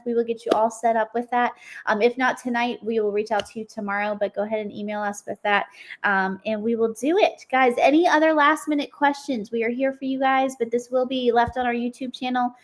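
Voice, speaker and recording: A woman co-hosting a live stream, upbeat and chatty with lots of fillers, her voice a little worn from a cold. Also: a little high. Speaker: co-host of a live product demo. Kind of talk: casual live-stream chat.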